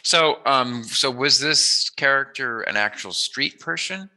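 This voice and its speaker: A man hosting a casual, joking interview, rambling and teasing and quick to laugh. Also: mid-range voice, lively intonation, pushed and bright, low-quality recording. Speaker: interviewer, host.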